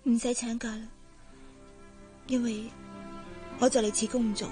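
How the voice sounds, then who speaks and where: A woman's voice: fairly high pitch, little pitch movement, normal speed, mellow, faint music behind, close to an everyday voice. A woman acting in a radio drama as a sad young housemaid with a Hong Kong accent, guarded and hesitant as she hides her heartache. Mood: downcast.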